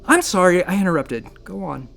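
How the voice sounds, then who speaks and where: Wry, irritable space station doctor, music underneath. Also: male voice, high-pitched, very animated, normal speed, creaky, a bit bright, full character voice. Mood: exasperated. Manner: wry, sarcastic, exasperated, talkative. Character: irritable, sarcastic, rambling male doctor and station commander. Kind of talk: naturalistic sci-fi audio drama acting. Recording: audio drama with music underneath.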